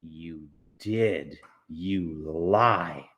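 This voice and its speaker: A man's voice: low-pitched, very slow, fairly bright tone, pitched below the performer's own voice, heavily acted. A man voicing a feisty, bossy young girl in an audiobook, with an emphatic way of talking. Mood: accusing. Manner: scolding, emphatic. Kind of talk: audiobook character voice.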